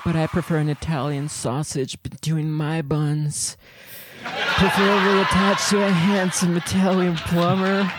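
A man voicing a lewd female mouse comedian, telling raunchy jokes and squeaking between punchlines. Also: level tone, unhurried, close to an everyday voice. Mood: cheeky. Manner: raunchy insult-comic delivery with squeaks. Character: lewd female mouse comic roasting a plumber. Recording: noisy recording.

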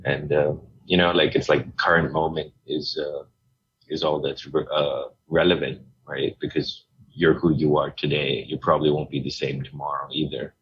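A middle-aged man from Hong Kong, a guest telling his story in a relaxed, rambling way with many fillers. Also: rumbling low, normal speed, husky, dull audio. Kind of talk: casual interview talk.

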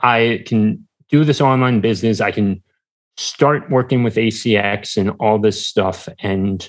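A man with a fairly low voice talks casually as a podcast guest. His delivery is conversational and halting, as he often stops to search for the right words.

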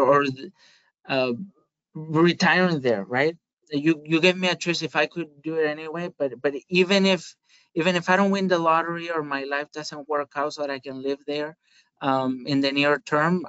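A man with a Spanish accent speaks in a smooth, clear voice of middling pitch, working through his answers thoughtfully with frequent fillers and restarts. The audio is dry and a little dull. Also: fairly bright tone, dry recording. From Spain. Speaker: guest, market research manager. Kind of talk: podcast interview.

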